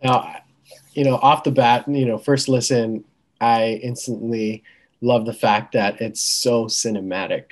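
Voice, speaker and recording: A man's voice: mid-range voice, steady tone, a little slow. A man hosting an interview, asking loose, rambling questions full of likes and you knows.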